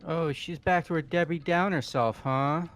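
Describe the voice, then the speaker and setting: A man's voice: a little high, fairly even intonation, moderate pace, a bit bright, natural voice. A man voicing a laid-back, slangy young guy in a fan-dubbed game, casual and crude. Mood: casual griping.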